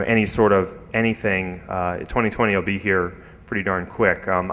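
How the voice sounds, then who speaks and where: A man giving an informal technical conference talk with slides, speaking haltingly with frequent hesitations and repeated words. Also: on the low side, a bit bright. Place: large indoor conference hall. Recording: echoey hall with long reverb.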